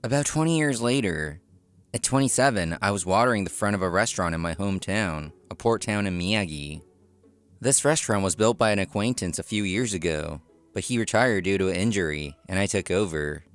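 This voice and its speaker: A man's voice: low-pitched, animated, brisk, soft background music. A man reading scripted first-person narration for a manga story. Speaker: narrator and lead character.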